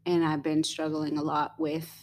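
A young woman co-hosting a casual podcast, talking in a rambling way with many fillers and quick giggles. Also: low voice, completely flat delivery, a little mellow, slight background noise. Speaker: podcast co-host.

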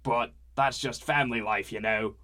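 A man voicing a boisterous, chatty family man who rambles loudly. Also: mid-range voice, fairly even intonation, normal speed, natural voice. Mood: cheerful. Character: boisterous bearded man, cheerful family dad.

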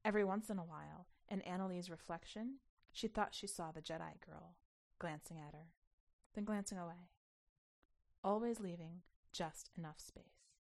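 Expressive woman reading a story. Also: fairly low pitch, normal speed, creaky. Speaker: podcast host reading a short story. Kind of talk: expressive scripted storytelling narration.

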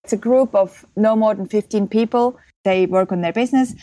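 A woman's voice: mid-range voice, brisk, warm tone, rough recording. A woman in her thirties, originally from Switzerland, explaining her marketing business in an upbeat, easygoing podcast interview. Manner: upbeat, explanatory, laughs easily. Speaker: guest, marketing business co-founder.

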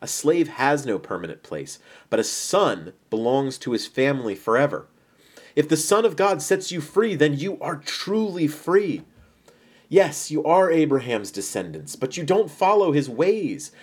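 Man playing an emphatic, solemn Jesus, lively pitch. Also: husky. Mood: earnest, emphatic. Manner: emphatic, measured, solemn delivery. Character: Jesus, calm but bold, authoritative teacher in his thirties. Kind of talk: dramatized Bible storytelling.

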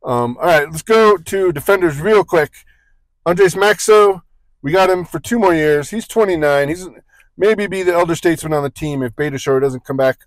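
A man's voice: fairly high pitch, moderate pace, no room sound, rough recording. A man co-hosting a casual sports podcast, rambling through points with joking asides and frequent self-corrections. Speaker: podcast co-host.

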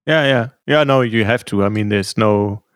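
A man's voice: fairly low pitch, moderate pace, dry recording, clean studio sound. A man hosting an interview, asking long, thoughtful questions that wind as he goes. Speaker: interviewer, host. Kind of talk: conversational interview.

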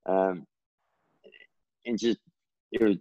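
Texan guy low voice rambling on earnestly in an interview, dry muffled sound. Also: slow pace, no room sound. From Texas. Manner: earnest, rambling, gets excited and pitches up. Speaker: interview guest, writer. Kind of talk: casual interview chat.

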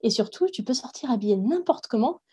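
A woman's voice: on the high side, expressive, a little fast, dark tone, breathy edge. A young woman from France, a language teacher, talking frankly and reflectively in a casual interview, thinking aloud as she goes.

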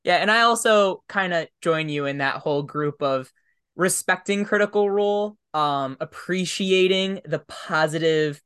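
A man's voice: high-pitched, measured pace, a bit bright, clean tone. A man hosting a podcast in casual interview chat, speaking in a conversational, affirming way. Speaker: podcast host.

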